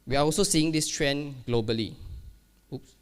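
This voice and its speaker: A man's voice: on the high side, moderate pace, smooth. A man giving a keynote at a conference, speaking casually, with many fillers and restarts and a self-deprecating touch. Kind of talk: keynote talk on cybersecurity. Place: conference hall.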